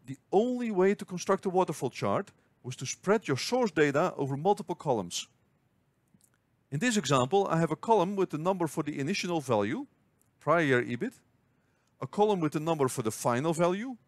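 A man narrating a scripted spreadsheet tutorial, explaining step by step in a clear, measured way. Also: a little high, no echo. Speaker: finance tutorial host. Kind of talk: scripted screen-recording tutorial.

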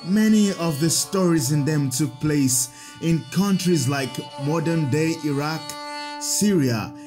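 A kindly, learned priest in an audio drama. His voice sits a little high for a man and moves through lively rises and falls. He explains things to children slowly and patiently, over faint music. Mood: patient teaching.

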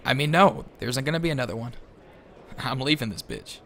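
A young man playing a high-strung, sarcastic, foul-mouthed teenage schoolboy in a comedic audio drama, rambling and sardonic. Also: lively intonation, brisk, creaky, bright, forceful tone, slight background noise. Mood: dry, sardonic.

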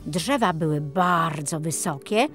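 A woman's voice: low-pitched, lively intonation, slow, fairly bright tone. A woman reading scripted narration for a children's story as its narrator. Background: music.

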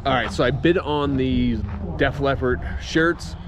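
A man's voice: mid-range voice, unhurried, background noise. A middle-aged man reselling collectibles, talking casually to camera at an auction house and thinking aloud as he goes. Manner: chatty, thinking aloud with restarts. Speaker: reseller vlogger. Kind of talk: casual running commentary.

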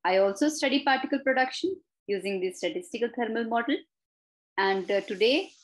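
A woman's voice: medium pitch, husky, low-quality recording. A woman assistant professor from India giving a friendly, methodical self-introduction to camera.

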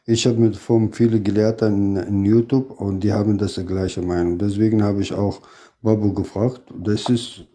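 A man from Iraq whose first language is Arabic, a guest on a live stream who talks casually in halting, simple phrases. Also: on the low side, level tone, warm tone. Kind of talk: casual chat.

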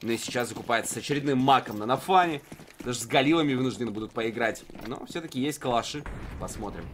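A man's voice: mid-range voice, expressive, fast, a bit bright, slight background noise. A man giving conversational live esports commentary in a wry, joking manner.

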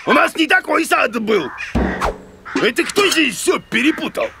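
A man's voice: very high voice, very animated, a little slow, creaky, music in the background, full character voice. A man voicing a grumpy, boastful, childlike bearded monster teacher in a children's cartoon, stammering and mangling his words. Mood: boastful, indignant. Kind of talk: animated educational children's cartoon acting.

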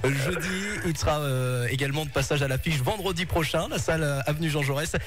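High-voiced male morning radio host. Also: fairly quick. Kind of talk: casual morning radio presenting. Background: music.

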